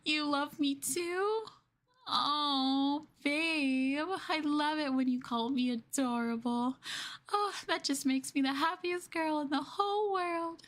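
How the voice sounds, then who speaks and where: A woman playing an obsessive, sweetly possessive young girlfriend in an intimate ASMR roleplay, cooing and coaxing the listener with soft, breathy asides. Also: sits high, slow. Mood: lovestruck, possessive.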